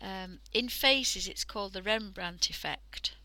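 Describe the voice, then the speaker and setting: A woman with a croaky voice from a cold, chatting casually through a drawing tutorial on a headset mic and often dropping into half-whispers. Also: mid-range voice, a little slow, pushed and bright, no room sound. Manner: chatty, thinking aloud, drifting into half-whispers. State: hoarse from a cold. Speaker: art livestream host.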